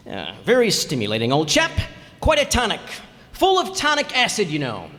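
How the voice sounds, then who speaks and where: A man plays a blustery middle-aged husband in an old-time radio comedy, full of pompous airs and bumbling stammers. His pitch swings widely, and the voice rings in a big reverberant hall. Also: wildly swooping pitch, normal speed, pushed and bright, heavily acted.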